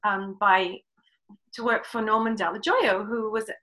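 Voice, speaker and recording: A woman's voice: medium pitch, steady tone, measured pace, pushed and bright. A middle-aged woman with an English accent, casually telling her own story as an interview guest. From England. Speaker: webinar guest, riding clinician and horse trainer.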